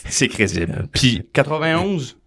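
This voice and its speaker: A man's voice: medium pitch, sing-song, measured pace, fairly bright tone. A man with a Quebec French accent, a radio guest speaking casually and joking in colloquial phrases. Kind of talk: radio interview.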